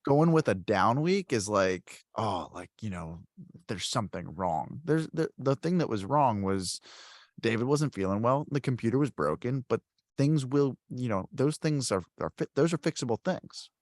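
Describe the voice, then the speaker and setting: A man hosting a podcast in casual chat, telling stories in a rambling, animated way with many fillers. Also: medium pitch, normal speed, dry recording, clean studio sound. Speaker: podcast host.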